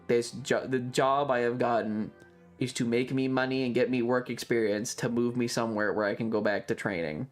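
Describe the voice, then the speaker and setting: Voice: mid-range voice, fairly even intonation, moderate pace, soft background music. A streamer chatting casually to a live audience in a rambling, opinionated, emphatic way with plenty of swearing. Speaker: livestreamer. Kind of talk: casual chat to a livestream audience.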